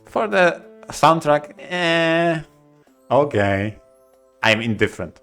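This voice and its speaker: A man co-hosting a podcast, giving opinions casually in a halting way, repeating short phrases for emphasis. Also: on the high side, lively intonation, fairly bright tone, smooth, faint music behind. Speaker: podcast co-host.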